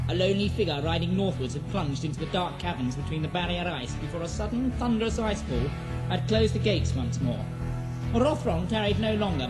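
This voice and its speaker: A woman's voice: lowish voice, low-quality recording. Woman narrating solemn fantasy over prominent music. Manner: solemn, storytelling, measured diction. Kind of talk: scripted dramatic fantasy narration.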